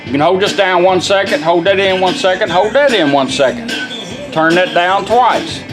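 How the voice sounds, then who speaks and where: A man's voice: high-pitched, normal speed, music in the background, poor audio. A man giving a casual, hands-on product demonstration, explaining controls step by step in a folksy, informal way. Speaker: product demonstrator, radio builder.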